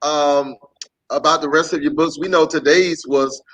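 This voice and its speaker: A man's voice: on the high side, steady tone, normal speed, fairly bright tone, low-quality recording. Man hosting a casual interview. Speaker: host.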